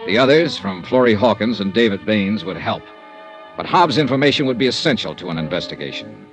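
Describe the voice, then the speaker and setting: An actor playing a tough, wry insurance investigator in a 1950s radio drama, clipped and probing. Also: male voice, on the low side, big pitch swings, a little fast, over music. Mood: brisk narrating. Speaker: lead actor and narrator.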